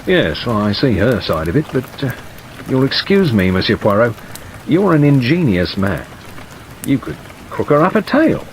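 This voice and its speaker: A man's voice: mid-range voice, normal speed, poor audio. A man voicing a retired male police superintendent in an audiobook, plain-spoken and sceptical, with a musing, hesitant delivery. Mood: sceptical, polite. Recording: steady rain noise over narration.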